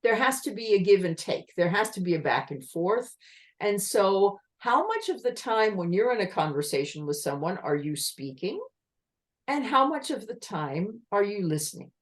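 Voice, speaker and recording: Woman teaching a communication talk over a video call, pitch bouncing all over, throws lots of questions at the audience. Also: moderate pace. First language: English. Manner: lively, explanatory, asks rhetorical questions. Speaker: communication trainer giving an online talk. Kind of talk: online presentation with slides.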